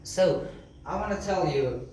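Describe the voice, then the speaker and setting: A man who speaks English as a second language gives an informal motivational talk in an earnest, halting, repetitive way. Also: fairly high pitch, animated, darkish tone. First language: not English (second language). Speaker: motivational content creator. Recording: echoey room with faint background noise.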